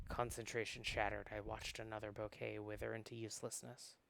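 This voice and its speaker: A man's voice: lowish voice, level tone, fairly quick, vocal fry, fairly bright tone, a little hiss or hum. A man reading visual novel narration aloud in a dramatic storytelling style.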